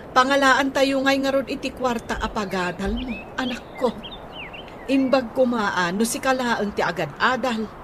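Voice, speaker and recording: A woman's voice: sits high, fairly bright tone, slight background noise. An actress with a Filipino Ilocano accent playing a plaintive, impoverished widowed mother of several children in a radio drama. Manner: plaintive, wailing delivery.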